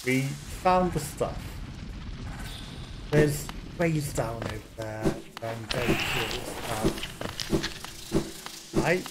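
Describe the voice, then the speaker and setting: A man's voice: slow, long pauses, low-quality recording. A middle-aged man giving casual gameplay commentary as a let's play host, musing aloud in short, broken phrases. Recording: loud game music and effects behind voice. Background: game music and rumbling effects.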